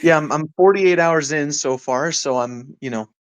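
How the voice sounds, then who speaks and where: A man's voice: fairly high pitch, normal speed, smooth, no echo. A man in his twenties chatting casually as a podcast panelist. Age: 20s. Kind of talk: casual chat.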